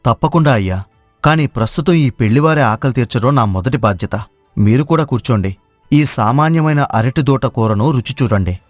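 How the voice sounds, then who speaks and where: A man's voice: medium pitch, lively intonation, normal speed, dry recording, soft background music, close to an everyday voice. A man playing a humble, honest village cook who speaks politely and confidently in a moral story. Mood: calm, assured. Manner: polite, assured. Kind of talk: acted moral story dialogue.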